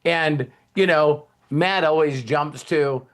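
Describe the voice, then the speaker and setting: Rambling, folksy man with a higher-pitched voice. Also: slow, fairly bright tone. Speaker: host of a motivational team talk. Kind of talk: casual motivational talk.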